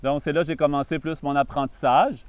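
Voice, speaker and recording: A Canadian man with a Québécois French accent, a Buddhist monk giving a calm, patient introductory talk on meditation with frequent pauses. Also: fairly high pitch, clean tone, dry recording.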